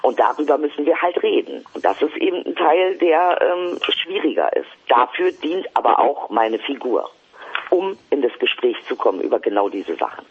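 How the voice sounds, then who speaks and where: A man's voice: mid-range voice, very animated, normal speed, highs cut off, a little hiss or hum. A man, a stage performer, speaking bluntly and polemically as an interview guest. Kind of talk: interview conversation.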